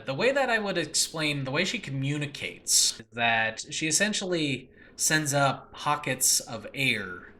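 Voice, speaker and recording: A man speaks casually and enthusiastically as the host of his own show. His voice is bright and forceful at an ordinary male pitch, and he rambles, often pausing to find the right word. The recording is a makeshift home one with faint noise behind him. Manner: rambling, hesitant, often searching for words. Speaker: podcast host and audio drama creator. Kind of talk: casual solo talk previewing own show.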